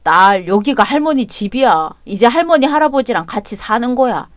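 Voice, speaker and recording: Woman playing a frazzled dad, whiny and pleading, lively pitch swings. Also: moderate pace, no room sound, studio quality, natural voice. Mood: gentle. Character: whiny overwhelmed young father and husband.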